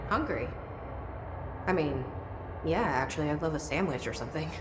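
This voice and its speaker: A woman's voice: low voice, animated, normal speed. A woman playing a wry, impatient young heroine with supernatural powers in a video game, dry and conversational in delivery. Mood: wry, awkward. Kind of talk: naturalistic video game acting. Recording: echoey long reverb, loud ambient noise behind.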